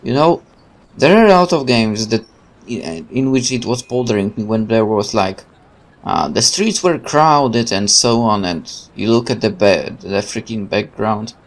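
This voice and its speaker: A man's voice: on the low side, lively intonation, a little slow. A man hosting a let's play, switching between casual commentary and reading the game's narration aloud, with game music behind him. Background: video game music.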